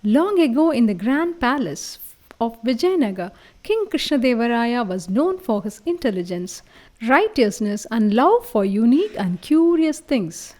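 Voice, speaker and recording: A woman narrating scripted stories for children in an expressive manner, as a storyteller. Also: on the high side, a little slow. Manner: expressive, addresses listeners as friends.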